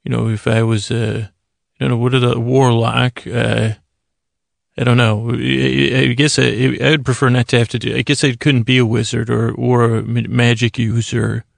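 Creaky-voiced, meandering American man. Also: mid-range voice, little pitch movement, no echo, clean studio sound. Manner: halting, meandering, self-interrupting with frequent tangents and pauses. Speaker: podcast host. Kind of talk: rambling, improvised bedtime monologue.

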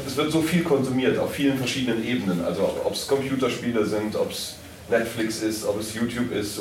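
A man's voice: medium pitch, darkish tone. A man talking casually as a producer on a live panel at a convention. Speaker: audio drama producer on a panel. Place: echoey convention hall. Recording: roomy, distant, faintly noisy live recording.

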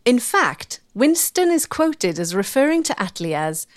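A woman reading scripted documentary narration in a measured, storytelling way. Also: a little high, very animated, no room sound, studio quality. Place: dry studio voice-over.

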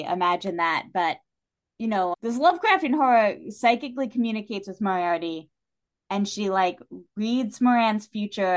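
A woman with a medium-pitched voice talks as a guest on a literary podcast. She is analytical and thoughtful, building her points in long, casual tangents.

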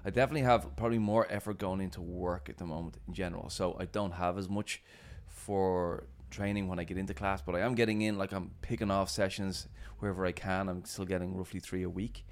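A man hosting a casual podcast chat, upbeat and quick to laugh. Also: sits low, fairly even intonation, clear, resonant tone. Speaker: podcast host and coach.

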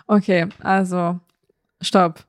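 A woman's voice: on the low side, little pitch movement, slow, no room sound, clean studio sound. Woman in playful podcast chat. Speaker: podcast co-host.

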